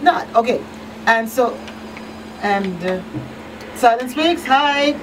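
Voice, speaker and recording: A woman's voice: a little high, animated, slow pace, a little hiss or hum. Informal woman hosting, slightly distant mic. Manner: informal, thinks aloud. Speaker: radio call-in show host. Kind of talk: casual, conversational interviewing. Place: small radio studio. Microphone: studio mic on boom arm.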